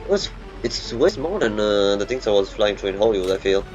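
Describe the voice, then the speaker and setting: Guy muttering through a flight game, lowish voice, game music going behind. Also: lively intonation, lo-fi sound. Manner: muttering, halting, thinking aloud. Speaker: gamer. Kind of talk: gameplay commentary.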